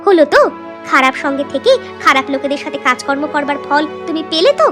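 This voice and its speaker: A woman's voice: sits high, animated, fast, over music. A woman voicing a stern, upright village wife in a cartoon story, firm and scolding. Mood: reproachful.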